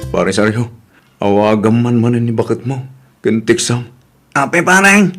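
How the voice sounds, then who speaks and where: A man's voice: medium pitch, measured pace, over loud music, natural voice. A man acting a weary, earnest husband and father in an audio drama, with an Ilocano accent and a plaintive way of talking. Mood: anxious, pleading.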